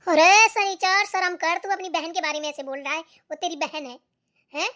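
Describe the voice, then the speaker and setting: A woman voicing a scolding, suspicious elderly mother-in-law in a family cartoon, nagging and sharp-tongued. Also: extremely high pitch, fairly even intonation, moderate pace. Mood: angry, domineering. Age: older. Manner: nagging, sharp-tongued, peppered with challenging tag questions. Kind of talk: Hindi family cartoon acting.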